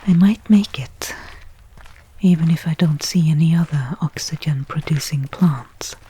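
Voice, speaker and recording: A woman playing a weary stranded space explorer, wry and resigned, talking to herself in a breathy ASMR roleplay. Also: sits low, unhurried, warm tone, slight background noise, rough recording. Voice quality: breathy, whispery. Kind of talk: ASMR audio roleplay monologue.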